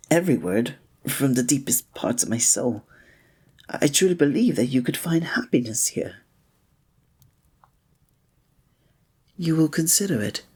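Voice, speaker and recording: Man playing a soft, breathy, gallant fantasy innkeeper. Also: a little high, exaggerated voice. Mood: hopeful, delighted. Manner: formal, old-fashioned, gallant, gentle phrasing. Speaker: ASMR roleplay performer. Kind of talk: scripted romantic ASMR roleplay.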